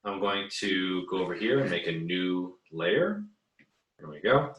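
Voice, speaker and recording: A man with a very low but bright, clear-edged voice talks through a drawing demo like a teacher. He is easygoing and hesitant, thinking aloud as he goes. The sound is echoey, as if he sits back from the mic in a room. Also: expressive, unhurried, dull audio. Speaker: art teacher giving an assignment demo. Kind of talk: casual screen-recorded drawing tutorial. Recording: roomy, echoey, distant mic.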